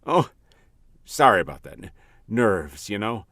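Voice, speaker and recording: A man playing a nervous, guilt-haunted attorney in his late thirties in a radio drama, with halting delivery. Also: on the low side, sing-song, a bit bright, dry recording, studio quality, heavily acted. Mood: nervous, evasive.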